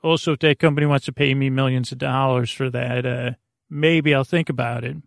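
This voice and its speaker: Male podcast host, gravelly and creaky, dry close sound. Meandering, stop-and-start delivery, whimsical and casual. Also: mid-range voice, fairly even intonation, fairly quick, no echo. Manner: goofy, whimsical. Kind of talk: rambling casual monologue for a sleep podcast.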